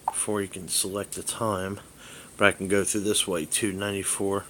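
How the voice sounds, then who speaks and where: A man giving a casual hands-on demonstration as a hobbyist vlogger, halting and rambling as he thinks aloud. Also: low-pitched, little pitch movement, noisy background.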